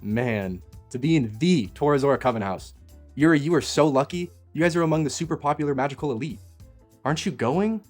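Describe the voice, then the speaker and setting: A man playing a goofy, excitable young half-elf receptionist in an audio fantasy drama, chummy and slangy. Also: a little high, a little fast, soft background music, lightly acted. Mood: awed, envious.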